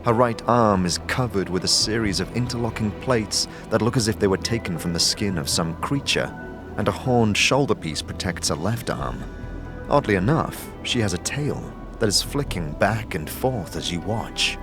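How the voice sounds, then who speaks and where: A man's voice: on the low side, expressive, moderate pace, music in the background. A man narrating a fantasy tale in the second person as dramatic audio storytelling.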